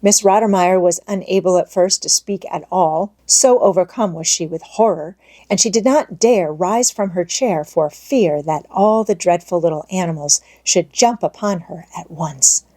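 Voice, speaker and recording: A woman narrates a storybook with expression. Her voice is on the low side and notably mellow. The delivery is that of a scripted audiobook read aloud. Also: normal speed.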